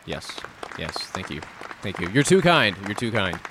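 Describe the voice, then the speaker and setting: A man's voice: on the low side, big pitch swings, normal speed, a crowd in the background, noisy background. A man hosting a podcast in a studio, chatting casually and cracking jokes while he reads out odd facts. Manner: chatty, joking asides while reading facts. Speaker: podcast host. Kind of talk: casual solo radio talk.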